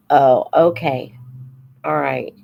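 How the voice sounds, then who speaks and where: A woman hosting a casual live chat, unhurried and thinking aloud as she talks to her viewers. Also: deep voice, expressive, creaky, dull audio, a little hiss or hum. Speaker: host of a live book Q&A, teacher-like reader.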